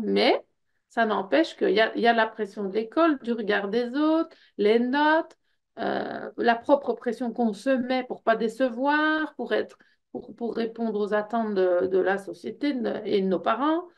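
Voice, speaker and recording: A woman's voice: fairly high pitch, unhurried, vocal fry. A middle-aged woman from Belgium with a Belgian French accent, presenting an information evening for a personal development workshop in a conversational way, full of fillers and the tag word "hein". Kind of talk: informal info session presentation.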